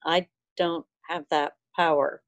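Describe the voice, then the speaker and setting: A woman's voice: sits low, steady tone, takes it slowly, lo-fi sound. A woman chatting casually with a group on a video call. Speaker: club meeting participant.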